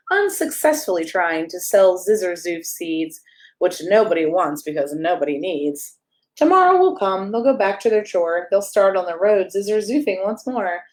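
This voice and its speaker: A woman reads a rhyming picture book aloud for children. Her voice sits at a middle pitch, and she delivers the lines in a playful, sing-song storybook manner, giving the rhymes clear emphasis. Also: a little mellow. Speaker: pastor and storyteller reading aloud.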